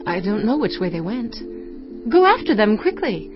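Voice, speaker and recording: A woman's voice: mid-range voice, big pitch swings, normal speed, close to an everyday voice. An actress playing a fearful but resolute woman of ancient Jericho in a dramatized audio Bible, earnest and dramatic. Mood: urgent. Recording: slightly dull audio over music bed. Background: faint music.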